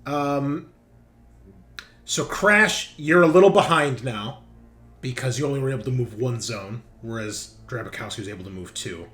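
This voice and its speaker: A man's voice: mid-range voice, lively intonation, moderate pace, a bit bright. A man acting as game master in a tabletop roleplaying game, narrating action and explaining the rules. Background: background music.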